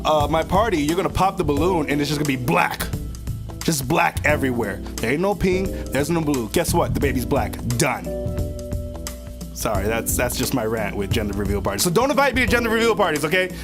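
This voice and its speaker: A man's voice: fairly high pitch, sing-song, brisk. A man delivering an exasperated, emphatic comedic rant as a segment host. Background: music.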